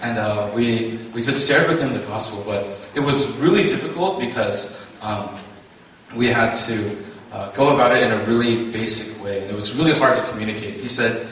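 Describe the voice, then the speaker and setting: A young man with a lowish voice tells his story in a halting way, full of uh and um. He sounds far from the mic in a very echoey hall. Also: fairly bright tone, slight background noise. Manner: halting, many uh and um fillers. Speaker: conference attendee giving testimony. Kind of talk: personal testimony to an audience.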